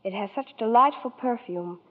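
A woman's voice: a little high, moderate pace. A young woman giving a scripted 1940s radio soap endorsement, polite and carefully recited, on a tinny, reverberant old broadcast.

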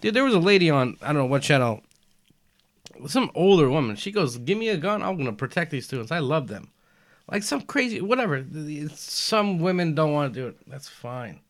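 A young man talking in a relaxed chat, his voice riding fairly high and swinging up and down as he argues. He leans hard into his points and slips in casual swearing. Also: a little fast. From United States, family from Albania. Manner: animated, emphatic, swears casually, says man and dude. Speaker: podcast guest.

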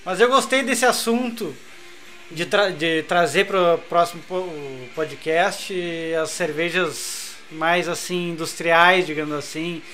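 A man, co-hosting a beer podcast and explaining things to listeners in an animated, casual way. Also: on the high side, takes it slowly, faint music behind. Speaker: podcast co-host. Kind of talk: casual chat over beer.

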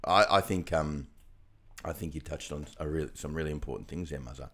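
Deep-voiced man hosting a podcast. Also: a little fast, a bit bright. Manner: conversational, reflective, drops into quoted voices. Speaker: podcast co-host. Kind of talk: casual chat.

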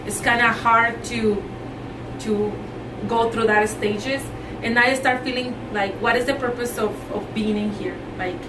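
A woman in her thirties with a Mexican Spanish accent, chatting as an interview guest. Her voice is fairly high, and she laughs often and sometimes searches for words. She is recorded from a distance in an echoey, noisy room. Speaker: guest, entrepreneur and mother. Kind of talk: casual interview talk. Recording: echoey room, mic at a distance, background noise.

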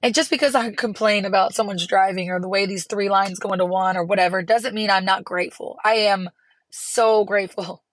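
Woman with a raspy voice, hoarse from laryngitis, earnest podcast host, dry close sound. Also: medium pitch, fairly even intonation, fairly quick, dry recording. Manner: earnest, encouraging, rambling with restarts. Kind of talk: casual solo podcast talk. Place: parked car.

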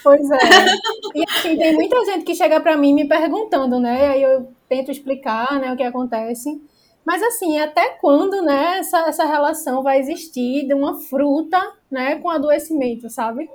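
A woman's voice: high-pitched, moderate pace, a little mellow. A Brazilian woman speaking as a guest nutritionist, explaining casually and often adding little tags that seek agreement. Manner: peppers speech with tag questions seeking agreement.

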